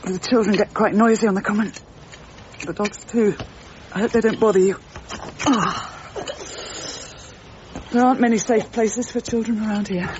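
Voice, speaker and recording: A woman's voice: mid-range voice, fairly even intonation, normal speed, long pauses, muffled recording, noisy background, lightly acted. An actress playing a prim, guarded middle-aged landlady in a radio drama, speaking with clipped restraint. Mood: quietly matter-of-fact.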